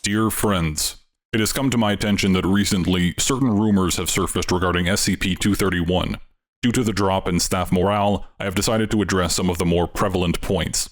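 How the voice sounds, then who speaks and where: A man reading a formal letter aloud in a measured, grave manner. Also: deep voice.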